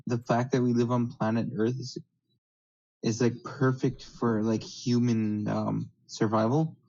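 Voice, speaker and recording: A man's voice: mid-range voice, little pitch movement, measured pace, creaky, warm tone. Hesitant Canadian man, muffled audio. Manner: rambling, hesitant, self-deprecating. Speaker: guest. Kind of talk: casual online chat. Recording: slightly dull lo-fi audio.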